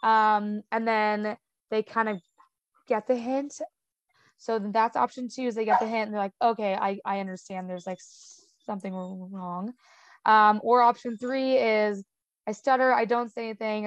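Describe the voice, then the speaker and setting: A woman's voice: medium pitch, fairly even intonation, normal speed, clear, resonant tone, no room sound, highs cut off. A young woman with a stutter, talking casually as an interview guest, with sound repetitions, blocks and lots of "um" and "like".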